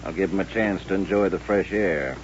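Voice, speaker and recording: An actor playing a menacing, sardonic western gunfighter with a mocking, folksy drawl in a radio drama. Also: male voice, deep voice, brisk, lightly acted. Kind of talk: old-time radio western acting. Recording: muffled old radio recording with hum.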